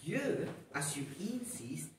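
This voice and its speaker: A man with a North Frisian accent playing a hesitant village man mulling over gossip in a live radio play, halting and deliberate. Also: a little high, big pitch swings. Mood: pondering. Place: live stage performance venue. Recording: echoey live hall, distant mic, faint noise.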